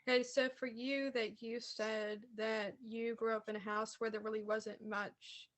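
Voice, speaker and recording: A woman's voice: a little high, flat delivery, unhurried. A woman interviewing a friend, asking questions in a casual way with fillers.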